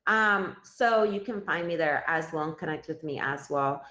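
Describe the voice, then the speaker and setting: A woman's voice: low-pitched, normal speed, sharp tone. Chatty woman live streamer, roomy sound. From Cuba. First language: Spanish. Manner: chatty, addresses viewers directly, thanks gifters. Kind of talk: casual live stream chat. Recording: roomy, slightly dull sound.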